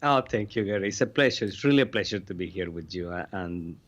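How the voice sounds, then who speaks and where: Mexican-born male physician guest, low voice, earnest storytelling, dull lo-fi audio. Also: expressive, quick pace, fairly bright tone. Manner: earnest, non-native grammar, frequent restarts. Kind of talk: interview storytelling. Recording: dull lo-fi sound.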